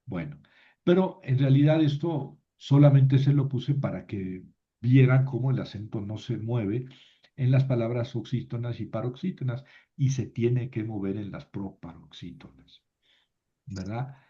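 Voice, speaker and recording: Slow, deliberate male teacher. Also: medium pitch, dark tone. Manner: recites word forms slowly and deliberately, thinks aloud. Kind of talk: slow classroom lesson on grammar. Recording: dull lo-fi recording.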